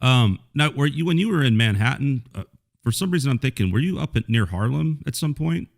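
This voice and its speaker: A man hosting a podcast, asking easygoing questions and checking with a quick "right?". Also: mid-range voice, lively intonation, gravelly. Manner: laid-back, chatty, asks leading questions with "right?". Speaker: podcast host. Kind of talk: casual interview chat.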